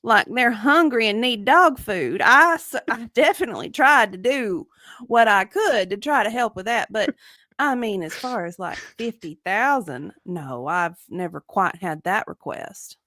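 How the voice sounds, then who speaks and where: A woman's voice: mid-range voice, animated, measured pace, pushed and bright, dry recording, studio quality. A woman voicing an evasive, matter-of-fact victim advocate giving clipped, hedging answers in a comedic deposition reading. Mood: guarded.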